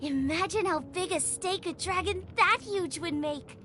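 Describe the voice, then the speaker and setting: A woman's voice: very high-pitched, a little slow, sharp tone, a little hiss or hum, full character voice. A woman voicing a cheerful, eager teenage girl chef in a video game, chatty and enthusiastic. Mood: perky, eager. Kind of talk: video game character voice lines. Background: music.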